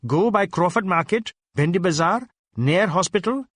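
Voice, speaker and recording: A man acting a flat-toned, commanding kidnapper, pitched up. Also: normal speed, dry recording, clean studio sound. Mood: cold, commanding. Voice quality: flat tones. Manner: clipped, terse, broken-English phrasing. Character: cold, commanding male kidnappers' spokesman giving terse orders.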